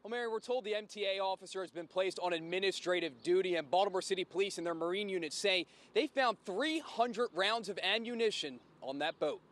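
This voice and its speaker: A man's voice: sits high, a little fast, few pauses, fairly bright tone. A man reporting live for TV news as a field reporter, outdoors in a city.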